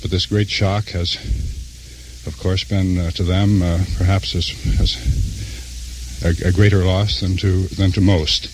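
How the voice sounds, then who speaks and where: A man reporting live as a radio correspondent, halting and pausing between short phrases, on an old news feed. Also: deep voice, level tone, a little hiss or hum, low-quality recording.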